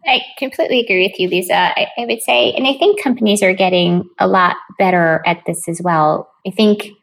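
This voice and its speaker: A woman's voice: mid-range voice, brisk, vocal fry. A woman speaking as a corporate governance advisor in a professional panel discussion.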